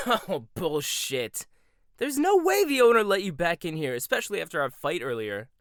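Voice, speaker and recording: A man's voice: high-pitched, sing-song, normal speed, fairly bright tone, dry recording, clean studio sound, exaggerated voice. A man playing a proud, combative young head chef in an audio roleplay, talking in a sarcastic, confrontational way. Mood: defensive. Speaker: voice actor.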